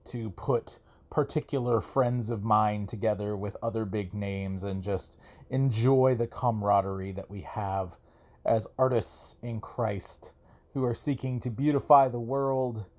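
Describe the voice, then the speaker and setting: Man, medium pitch, podcast host, casual monologue, conversational, emphatic, repetition for effect. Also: darkish tone.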